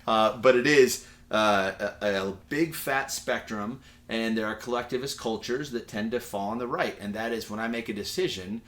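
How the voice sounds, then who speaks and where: A man's voice: lowish voice, moderate pace, hardly any pauses, fairly bright tone. A man with an American accent giving a casual, digressive lecture in a conversational, anecdotal way, like a university teacher.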